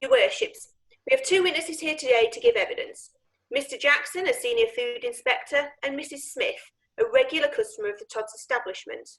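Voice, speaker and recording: A woman's voice: sits high, a little fast, a bit bright, rough recording. A woman playing an earnest, businesslike lawyer in a courtroom play, formal and emphatic. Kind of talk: courtroom stage play acting.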